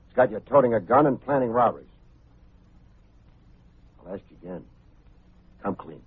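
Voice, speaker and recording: Wry radio private eye, muffled old recording. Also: male voice, on the low side, expressive, moderate pace, husky. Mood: pressing, cool. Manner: wry, clipped, sardonic. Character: wry, hard-boiled male private detective, needling and cool. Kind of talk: old-time radio detective drama acting with first-person narration.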